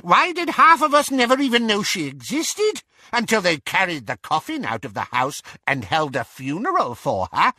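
A man's voice: high voice, wildly swooping pitch, normal speed, hardly any pauses, bright, forceful tone, no echo, clean studio sound, pitched above the performer's own voice, exaggerated voice. A man voicing a gleefully malicious old gossiping aunt, a squawking, cackling witch who domineers the conversation in an audiobook story. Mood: shrill, accusing. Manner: domineering, insinuating, talks over others.

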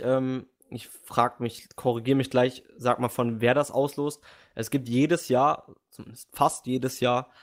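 A young man co-hosting a podcast in casual chat, easygoing, with halting restarts. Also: mid-range voice. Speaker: podcast co-host.

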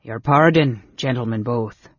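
A man voicing a gruff, weary innkeeper in a fantasy audiobook, with blunt, folksy rustic speech. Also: mid-range voice, measured pace, fairly bright tone, muffled recording. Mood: gruff, downcast.

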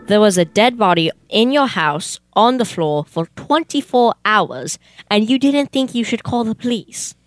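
A young woman playing a businesslike, easily exasperated male detective in a comic old-time radio play, questioning in a deadpan way. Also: mid-range voice, measured pace, faint music behind, close to an everyday voice.

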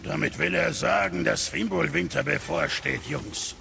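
Gravelly doom-saying dwarf. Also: male voice, a little high, a little slow, background noise. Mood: insistent gloom. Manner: insistent, doom-laden, crude. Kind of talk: dramatic video game dialogue as audio drama. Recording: music behind the voice.

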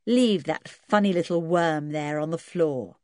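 A woman's voice: sits low, measured pace, a little mellow, no echo, studio quality. Woman playing a bold, scornful tomboy girl. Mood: scornful, commanding. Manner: scornful, firm, taunting.

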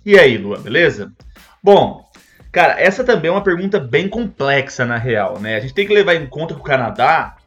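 Give this man a fairly high voice and a Brazilian Portuguese accent. He is a podcast host who explains things informally, chatting straight to his listeners, with music playing behind him. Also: sing-song, moderate pace, a bit bright.

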